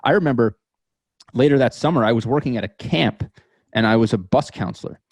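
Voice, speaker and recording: An American man in his thirties telling personal stories as an interview guest, thoughtful and halting, often repeating words as he goes. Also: mid-range voice, a little mellow, no echo. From New York metropolitan area, United States. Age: 30s. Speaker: podcast guest. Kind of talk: reflective interview storytelling.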